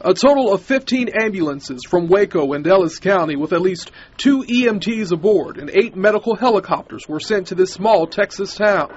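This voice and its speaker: A man's voice: high voice, expressive, moderate pace, hardly any pauses, highs cut off, slight background noise. A man working as a TV field reporter, delivering a live news report. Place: emergency communication center.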